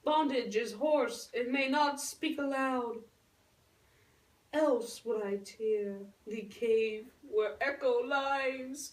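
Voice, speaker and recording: A woman playing a lovestruck, earnest young noble girl in a puppet show, speaking Shakespearean verse in a theatrical, drawn-out way. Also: sits high. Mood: yearning. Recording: roomy echo, distant microphone.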